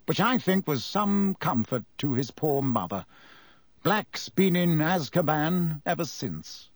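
A man's voice: fairly high pitch, no echo, voice pushed up. A man playing a pompous, self-important older government minister in an audiobook, grave and formal in delivery. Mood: grave, shaken.

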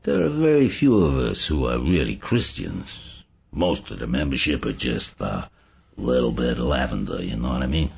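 A man's voice: extremely low pitch, very animated, normal speed, creaky, darkish tone, slightly rough, dull audio, voice pushed down, full character voice. A man voicing a sly, bland infiltrator in an audiobook, talking in a confiding, insinuating way. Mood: bland, lofty. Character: sly, bland male infiltrator posing as prim convention delegate.

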